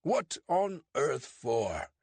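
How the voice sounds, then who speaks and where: A man's voice: medium pitch, huge pitch swings, extremely slow, creaky, a little mellow, husky, dry recording, voice pushed up, exaggerated voice. A man voicing an American operative faking a British accent, evasive and cheeky.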